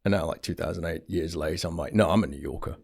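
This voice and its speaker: A man born in England and raised in Switzerland, an actor guest chatting casually and telling animated stories. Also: sits low, fast talker, vocal fry. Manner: animated storyteller, swings between eager and soft asides. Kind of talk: casual interview chat.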